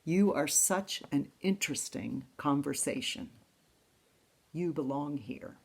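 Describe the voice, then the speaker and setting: Low, gravelly-voiced woman preaching. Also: lively intonation. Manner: slow, reflective, intimate reading voice. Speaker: pastor. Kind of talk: sermon with poetry readings. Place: church service, streamed online.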